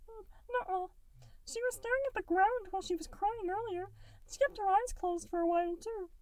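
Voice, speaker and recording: A woman doing a squeaky little goo boy. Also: normal speed, a little mellow, no room sound, distant mic. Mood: innocent. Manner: high, squeaky and whimpering. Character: small innocent boy made of goo, timid and sweet. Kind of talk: audiobook fan fiction reading.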